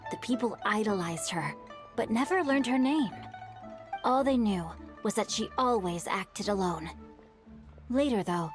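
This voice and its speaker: A woman voicing a courteous, well-read young male swordsman who tells an old tale in a measured way, in video game dialogue. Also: fairly high pitch, natural voice. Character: bookish young male swordsman, polite and thoughtful.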